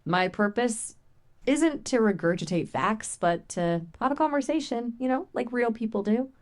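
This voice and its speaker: A woman's voice as a conversational AI assistant, chatting casually in a playful, expressive, colloquial way. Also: fairly high pitch, moderate pace.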